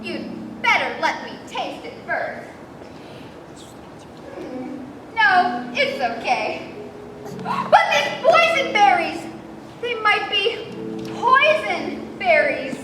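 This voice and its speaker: Teen girl playing self-important food taster, very high-pitched. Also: sing-song, extremely slow, pauses often, exaggerated voice. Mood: grandly dutiful. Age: teens. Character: self-important, greedy food taster posing as loyal protector. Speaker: student stage actor. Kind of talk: rhymed theatrical stage recitation. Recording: echoey hall, distant mic, loud music.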